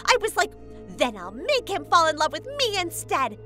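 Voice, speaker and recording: A woman's voice: very high-pitched, sing-song, moderate pace, bright, forceful tone, soft background music, full character voice. A woman playing a sweet, devoted young fiancée in a manga dub, with an earnest, bubbly manner. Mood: bubbly, affectionate.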